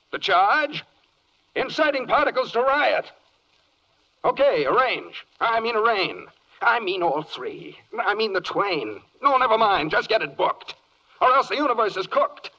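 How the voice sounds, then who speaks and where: High, flustered, blustering official. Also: male voice, very animated, measured pace, bright, forceful tone, heavily acted. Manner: blustering, tripping over words. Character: flustered, muddled, excitable cosmic official. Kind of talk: 1940s radio comedy acting in verse. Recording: dull 1940s radio recording.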